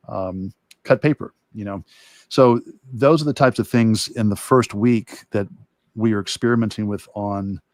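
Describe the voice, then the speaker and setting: A man who teaches art, talking casually and thinking aloud in a halting way during a livestream demo. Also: on the low side, darkish tone, dry recording. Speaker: art instructor and illustrator.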